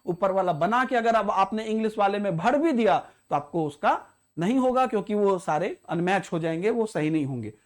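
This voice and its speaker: A man's voice: sits high, animated, moderate pace, hardly any pauses. Man, exam prep tutor, step-by-step explanation, repeats key points. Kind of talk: spoken tutorial walking through an exam paper.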